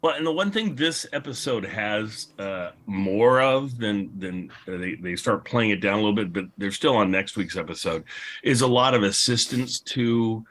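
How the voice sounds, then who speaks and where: A man's voice: fairly low pitch, animated, normal speed, fairly bright tone. A man speaking as a podcast host in an unscripted panel discussion, rambling and enthusiastic as he repeats himself.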